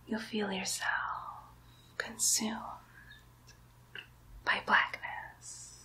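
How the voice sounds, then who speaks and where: A woman's voice: medium pitch, flat delivery, bright, forceful tone. A woman voicing a mischievous young demon girl posing as a nurse, whispering coaxingly and teasingly in an ASMR roleplay. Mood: soothing, sinister. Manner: slow, coaxing, teasing whisper with playful baby talk.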